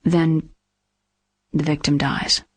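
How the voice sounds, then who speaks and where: A calm, secretive female scientist from a video game. She speaks in a lowish, breathy voice, hushed and precise, recorded close and dry. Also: slow pace, no room sound, exaggerated voice. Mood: sombre explaining. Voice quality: husky, breathy. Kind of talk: dramatic video game voice acting.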